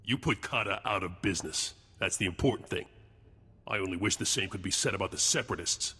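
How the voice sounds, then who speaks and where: A world-weary intelligence agent from a space adventure game. His low voice has a hard, forceful edge and a clipped delivery. It rings with long reverb. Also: brisk, husky, exaggerated voice. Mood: satisfied. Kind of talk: video game dialogue.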